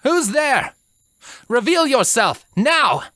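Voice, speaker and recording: A man's voice: very high-pitched, big pitch swings, slow, bright, forceful tone, no room sound, studio quality, voice pushed up, heavily acted. A man voicing a young female pony mage who is cautious yet commanding, in a story reading. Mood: alarmed, demanding.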